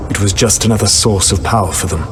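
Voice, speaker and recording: Game cutscene: a calm, formal ancient elven god, voiced by a man, slightly low voice, over clear background noise. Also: lightly acted. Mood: grave. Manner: calm, deliberate, formal speech. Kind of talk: video game cutscene acting.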